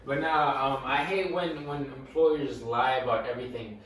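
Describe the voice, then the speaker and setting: A man's voice: medium pitch, steady tone, moderate pace, a bit bright. A young man co-hosting a casual podcast, telling a story animatedly and stuttering and repeating words. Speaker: podcast co-host. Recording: echoey room, mic far off, faint noise.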